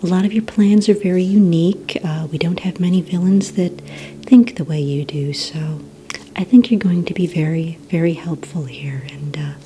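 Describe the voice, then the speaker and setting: Casting: woman as courteous supervillain-agency receptionist for ASMR roleplay; low, mellow, breathy near-whisper, gentle and organized. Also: moderate pace, a little hiss or hum, close to an everyday voice. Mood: gentle, businesslike. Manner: gentle, hesitant, courteous interviewer. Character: polite, organized female receptionist at a supervillain recruitment center. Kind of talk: soft-spoken ASMR roleplay.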